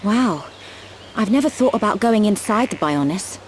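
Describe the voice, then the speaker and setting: A woman's voice: mid-range voice, animated, moderate pace, a little hiss or hum, close to an everyday voice, rough recording. An actress playing a curious young female adventurer in a video game, with an eager, wondering manner. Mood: awed. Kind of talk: video game cutscene acting.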